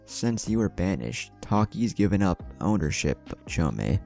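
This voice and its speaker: A man's voice: low-pitched, sing-song, unhurried, gravelly, dark tone, faint music behind, voice pushed up, exaggerated voice. A man voicing a loyal, protective and hot-tempered young male ninja in a story, casual and emphatic. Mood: confident explaining.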